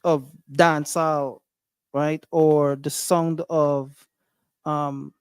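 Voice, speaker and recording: A man's voice: on the high side, little pitch movement, very slow, smooth, no echo. A man with a Guyanese accent hosting a casual livestream, thinking aloud with many fillers and restarts. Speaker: livestream host.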